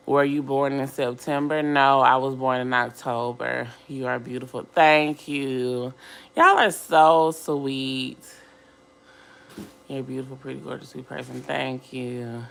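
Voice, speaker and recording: A woman with a low, scratchy voice hosting a casual livestream, reading viewer comments aloud and answering them in a chatty, upbeat way. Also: gravelly, fairly bright tone. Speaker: livestream host.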